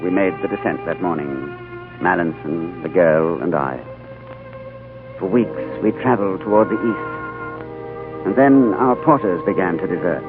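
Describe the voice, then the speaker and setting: A haunted, brooding adventurer. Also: male voice, low voice, unhurried, pauses often. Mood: somber. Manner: earnest, brooding narration. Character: weary male adventurer, haunted and longing. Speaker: leading man and narrator. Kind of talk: 1930s radio drama acting. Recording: tinny old radio broadcast with music.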